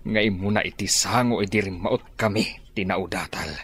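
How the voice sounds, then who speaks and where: A man's voice: sits low, steady tone, normal speed, fairly bright tone, slight background noise. A man with an Ilocano accent playing an embittered village fighter in a drama. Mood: bitter.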